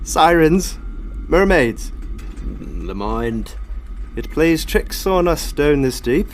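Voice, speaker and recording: A man's voice: fairly high pitch, expressive, takes it slowly, a little hiss or hum. An actor playing a jumpy, superstitious submarine crewman in an audio drama. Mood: eerie, musing. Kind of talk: full-cast audio drama acting.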